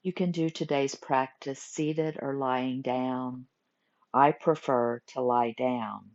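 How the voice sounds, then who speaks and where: Low-pitched woman guiding meditation slowly. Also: fairly even intonation. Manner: gentle instructing in short phrases with pauses. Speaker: yoga nidra meditation teacher. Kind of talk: guided meditation. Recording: muffled lo-fi recording.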